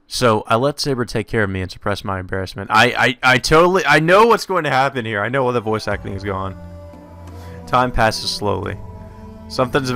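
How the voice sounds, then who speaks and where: Bright-voiced man reading with irreverent asides. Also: mid-range voice. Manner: irreverent, sweary, reacting incredulously to the script. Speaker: let's player reading a visual novel aloud. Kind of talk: casual commentary mixed with reading aloud.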